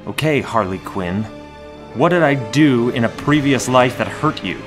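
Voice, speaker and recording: Stern male superhero, acted by a man, terse, lively intonation, mid-pitched, audio drama. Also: normal speed, music in the background, lightly acted. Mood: questioning. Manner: terse, questioning. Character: stern, reasoning adult male masked superhero. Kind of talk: fan audio drama acting.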